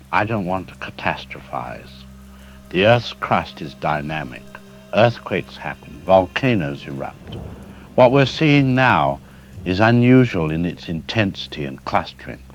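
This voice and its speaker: A man's voice: deep voice, slight background noise. A man playing a cautious scientist guest who answers a news interview in a careful, hesitant way. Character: cautious male seismologist expert guest. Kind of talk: broadcast interview.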